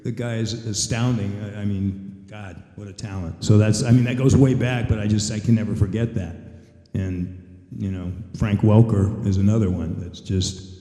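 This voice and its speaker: A man's voice: fairly low pitch, fairly even intonation, moderate pace, dark tone. A male voice actor speaking on a convention panel, emphatic with exasperated asides. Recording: echoey convention hall.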